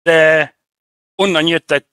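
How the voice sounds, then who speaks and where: An older man, an interview guest, explaining things conversationally in measured, separate phrases. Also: a little high, level tone, bright, forceful tone, clean tone, dry recording, studio quality. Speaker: interview guest, church representative.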